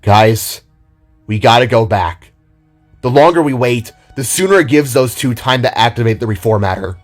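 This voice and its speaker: A man voicing an earnest, worried little hero in an audio drama, speaking with deliberate urgency. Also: medium pitch, lively intonation, soft background music, natural voice. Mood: urgent.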